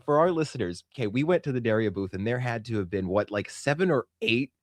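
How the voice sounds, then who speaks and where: A man's voice: mid-range voice, expressive, fast, no room sound, studio quality. A Canadian man co-hosting a podcast in casual, emphatic, colloquial chat. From Saskatchewan, Canada. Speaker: podcast co-host.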